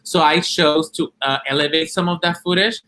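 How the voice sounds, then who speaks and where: A man's voice: a little high, steady tone, moderate pace, pushed and bright. A man from Rico, answering earnestly as a documentary director and activist in an interview. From Puerto Rico.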